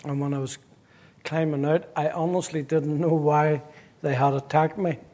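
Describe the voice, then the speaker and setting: Belfast pastor, middle-aged, wry and joking, fairly high voice for a man. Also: steady tone, darkish tone. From Northern Ireland. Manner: wry, joking, halting restarts. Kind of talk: interview storytelling.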